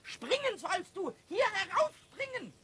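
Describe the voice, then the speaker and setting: A man voicing a cheeky, boastful little kobold in a children's radio play, squeaky and stammering over repeated words. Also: sing-song, unhurried, bright, forceful tone, slight background noise, heavily acted.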